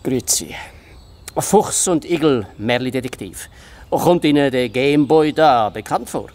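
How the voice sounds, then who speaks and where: A man plays a sly fox detective who sounds self-important and dismissive. He blusters in a Swiss German accent at a middling pitch, in a radio play for children. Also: measured pace, a little mellow, lightly acted. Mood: officious.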